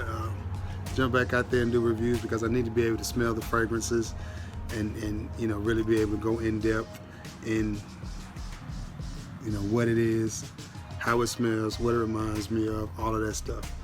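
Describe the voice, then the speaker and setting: A man, congested and stuffy-nosed from a sinus infection, casually updating his viewers with lots of ums. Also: mid-range voice. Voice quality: stuffy nasal voice. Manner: relaxed, rambling, with many ums and restarts. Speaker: fragrance reviewer, channel host.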